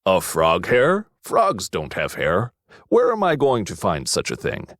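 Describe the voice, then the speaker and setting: A man's voice: low voice, wildly swooping pitch, a little slow, no echo, studio quality, pitched below the performer's own voice, exaggerated voice. A man voicing a grumbling, exasperated bearded wizard in a children's storybook, muttering his complaints. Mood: puzzled muttering.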